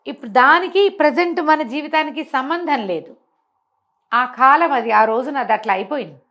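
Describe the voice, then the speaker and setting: High-voiced woman explaining moral lessons. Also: moderate pace, fairly bright tone. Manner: didactic, emphatic, asks rhetorical questions. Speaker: storyteller explaining moral teachings. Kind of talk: conversational moral discourse.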